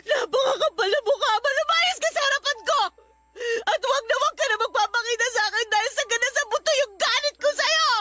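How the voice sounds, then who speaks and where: An actress playing a defiant younger sister caught as a mistress in a Filipino radio drama, protesting indignantly and shrilly. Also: female voice, extremely high pitch, fairly quick, bright, forceful tone. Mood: furious. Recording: music bed behind voice.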